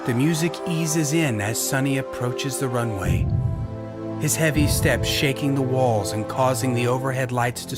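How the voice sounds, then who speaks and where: Male story narrator over loud music. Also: mid-range voice.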